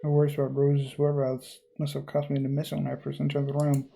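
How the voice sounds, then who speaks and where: A man's voice: medium pitch, completely flat delivery, fast talker, vocal fry, background noise. A man reading a horror visual novel aloud as dramatic narration, now and then stumbling over words and rereading them.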